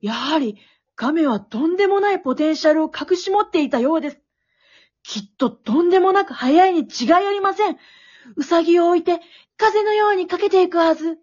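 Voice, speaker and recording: A woman playing an excitable, wisecracking storyteller, narrating a comic radio drama like play-by-play with dramatic flair. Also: extremely high pitch, brisk. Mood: mock astonished. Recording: dull, lo-fi recording.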